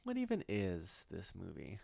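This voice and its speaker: A man's voice: low-pitched, huge pitch swings, moderate pace, gravelly, warm tone, dry recording, studio quality. A man co-hosting a casual film chat, dropping wry, joking asides. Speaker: podcast co-host.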